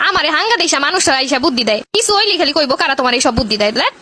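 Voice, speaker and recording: A woman plays a sharp-tongued Bangladeshi housewife in a comedy cartoon. Her voice is piercingly high and bright, and she argues at breakneck speed. She scolds and demands as she goes. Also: sing-song, very fast, dry recording, exaggerated voice. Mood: angry nagging. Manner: scolding, argumentative.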